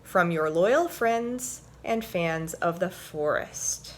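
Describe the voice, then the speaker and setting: A woman voicing friendly storybook forest animals reciting an affectionate rhyming message in a deliberate sing-song. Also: lowish voice, animated, slight background noise. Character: friendly forest animals reciting a loving get-well card.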